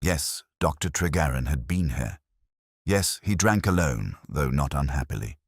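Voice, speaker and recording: Very deep male story narrator, dry close sound. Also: animated, husky, dry recording. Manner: measured, reflective, melancholy storytelling. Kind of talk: scripted literary detective story narration.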